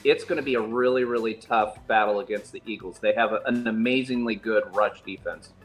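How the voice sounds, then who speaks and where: A man giving measured, cautionary fantasy football advice as a guest panelist. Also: lowish voice, faint music behind.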